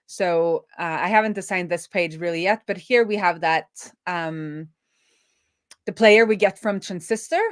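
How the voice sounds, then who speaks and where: A woman's voice: on the low side, a little slow, dry recording, studio quality. A woman hosting a casual coding livestream, thinking aloud informally as she works. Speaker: livestream host, web developer. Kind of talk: casual live coding commentary.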